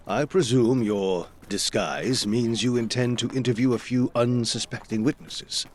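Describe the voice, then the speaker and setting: A man plays a loyal gentleman sidekick with a medium-pitched voice. He is polite, earnest and a little hesitant in audio drama style, with faint ambient noise around him. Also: fairly even intonation, measured pace, close to an everyday voice. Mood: amiable, wry. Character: genial, slightly flustered gentleman, loyal detective's companion.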